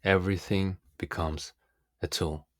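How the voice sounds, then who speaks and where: A man from Russia, a native Russian speaker, giving a reflective, philosophical self-help monologue in an earnest, deliberate manner as a coach. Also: deep voice, fairly even intonation, a bit bright, no echo, studio quality.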